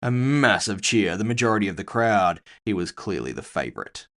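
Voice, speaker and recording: A man narrates a first-person story from a script. His voice is low, with lively, wide movement in pitch. The delivery is dramatic storytelling suited to audio fiction. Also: brisk.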